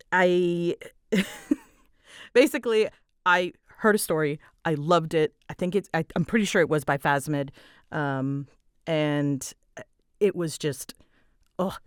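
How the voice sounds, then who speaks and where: A woman with a slightly low voice rambles through a casual Q&A. She is dramatic and scattered, poking fun at herself as her delivery swoops up and down. The sound is close and dry, as from a small closet. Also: slow pace, pauses often, clean tone, no room sound. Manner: scattered, self-deprecating, giggly. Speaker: ASMR audio creator and voice performer. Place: small closet used for recording.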